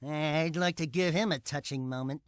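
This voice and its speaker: Man voicing a squeaky, cocky little animal sidekick. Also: moderate pace, smooth, dry recording, natural voice. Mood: sarcastic. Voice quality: squeaky, brash. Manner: sarcastic, theatrical, mouthy. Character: small, wisecracking, cocky orange furry animal sidekick, male. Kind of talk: cartoonish video game acting.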